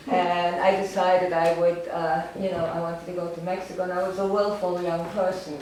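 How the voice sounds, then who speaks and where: An older woman, German her first language and raised in Romania, reminisces in a loose, halting way, full of fillers and dry jokes at her own expense. She is heard from some distance in a very echoey gallery. Steady room noise sits under her voice. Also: on the low side. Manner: rambling, halting, with many fillers and wry self-deprecating laughs. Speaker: artist giving a gallery talk. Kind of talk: informal autobiographical talk.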